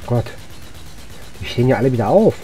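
A man's voice: medium pitch, big pitch swings, brisk, dark tone. A man doing casual gameplay commentary, muttering short remarks in a voice weakened by coughing. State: weak voice from coughing. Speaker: gaming video host. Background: game music.

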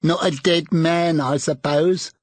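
A man voicing a bold, defiant charwoman with a shrill, scornful manner. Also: steady tone, takes it slowly, no echo. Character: bold defiant charwoman, brash older woman.

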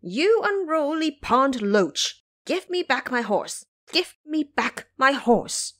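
A woman voicing a brash, hot-tempered male monkey warrior in an audio drama, shouting and defiant. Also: high voice, sing-song, takes it slowly, voice pushed up, exaggerated voice. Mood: angry shouting.

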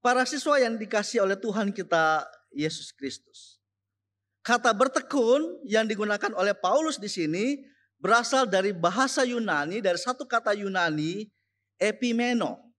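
A young man giving a chapel sermon to students, slow and emphatic, repeating key phrases. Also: high voice, animated, a bit bright. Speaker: chapel speaker and teacher. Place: school chapel.

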